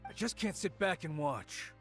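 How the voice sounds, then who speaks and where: A man's voice: fairly high pitch, animated, normal speed, fairly bright tone, soft background music. A man playing a vigilant, earnest citizen rallying others in a video game. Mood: determined.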